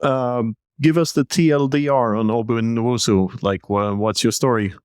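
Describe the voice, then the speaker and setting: A man's voice: mid-range voice, fairly even intonation, moderate pace, clean tone, no room sound, clean studio sound. A man hosting a podcast, chatting casually and trading jokey anecdotes with his guest. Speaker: podcast host. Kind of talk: casual interview chat.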